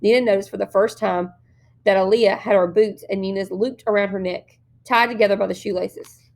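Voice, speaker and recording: A woman reading a novel aloud as an expressive storyteller, narrating the scenes. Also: mid-range voice, a little fast, vocal fry. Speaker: story reader.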